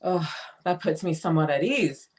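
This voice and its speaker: Animated, chatty big sister played by a woman. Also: low-pitched, normal speed. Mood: chatty, breezy. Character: chatty, affectionate, slightly vain older sister. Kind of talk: dramatic script reading.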